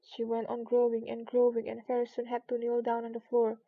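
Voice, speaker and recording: High-voiced woman reading a storybook aloud. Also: completely flat delivery, brisk, no room sound, phone-quality sound. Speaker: storybook narrator. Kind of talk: read-aloud storybook narration.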